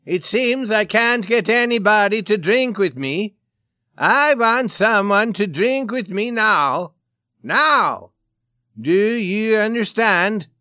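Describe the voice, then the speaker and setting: Casting: man to act a big belligerent Swedish drunk for an audio story, loud, high-pitched, demanding, swinging wildly. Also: sing-song, takes it slowly, dry recording, studio quality, exaggerated voice. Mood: angry, demanding. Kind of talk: dramatized short story reading.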